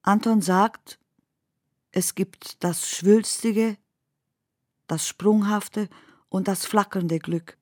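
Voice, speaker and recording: A female narrator of dreamlike radio drama. She recites surreal literary prose slowly and deliberately, at a middle pitch. The recording is dry and close. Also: fairly even intonation, a little mellow, no room sound. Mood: measured, poetic. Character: woman narrator of surreal, dreamlike poetic tales.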